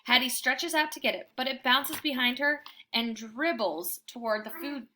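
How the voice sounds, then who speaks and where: Bright, high-voiced woman reading a storybook. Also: moderate pace, a little hiss or hum. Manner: animated, emphatic, dramatizing. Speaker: read-aloud storyteller. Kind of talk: expressive children's book narration.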